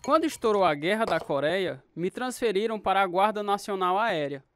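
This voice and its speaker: A man's voice: sits high, expressive, fairly quick, fairly bright tone. A man acting as a troubled, restless narrator telling his life story in a radio drama, with a Brazilian accent. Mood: reflective.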